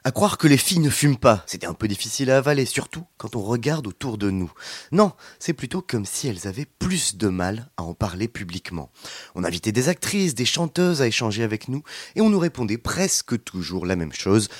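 A man co-hosting a podcast, reading scripted narration with a lively, wry delivery. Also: mid-range voice, brisk, husky, no room sound. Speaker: podcast co-host.

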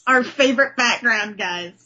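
A woman hosts a comic book podcast. Her voice sits a little high and has a husky grain, and the recording is dull and lo-fi. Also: normal speed, pushed and bright. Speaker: podcast host. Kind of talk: comic book discussion with dramatic letter reading.

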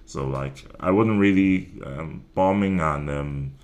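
Very deep-voiced young man, relaxed. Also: slow pace. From Nigeria and Ireland. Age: 20s. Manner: relaxed, rambling with many ums and restarts. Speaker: stand-up comedian guest. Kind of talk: casual interview chat.

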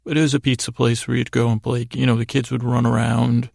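A middle-aged American man hosting a bedtime podcast, telling meandering stories in a gravelly voice, haltingly trailing off and wandering between thoughts. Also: mid-range voice, little pitch movement, darkish tone, no echo, studio quality. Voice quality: gravelly, creaky voice. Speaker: podcast host. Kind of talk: rambling, meandering bedtime storytelling monologue.

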